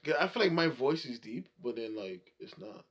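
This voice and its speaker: A young man chatting on a podcast, rambling through stories with drawn-out fillers. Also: medium pitch, very animated, fairly bright tone. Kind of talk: casual chat.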